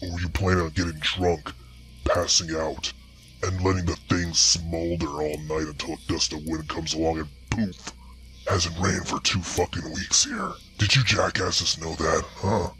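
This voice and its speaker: A man voicing a rough, raspy old male bear who scolds and threatens campers. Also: low voice, steady tone, a little slow, fairly bright tone, soft background music, pitched below the performer's own voice. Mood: scolding. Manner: scolding, menacing, profane. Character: gruff ragged old male bear in costume.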